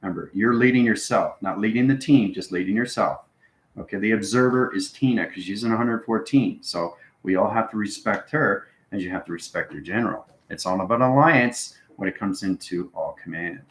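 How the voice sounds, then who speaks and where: Male live spiritual host, low register, rambling teacherly delivery with repeated check-ins, noticeably echoey sound. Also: fairly quick, a bit bright. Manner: instructional, frequent check-in tags like okay and right. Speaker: host answering live viewers. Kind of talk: live-stream spiritual talk, rambling explanation.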